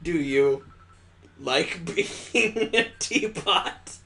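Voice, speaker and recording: This is a man playing a young girl in a visual novel game. She sounds polite and anxious, apologizing hesitantly, in a voice pitched a little high for a man. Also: expressive, extremely slow, sharp tone, faint music behind, exaggerated voice. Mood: hesitant, curious.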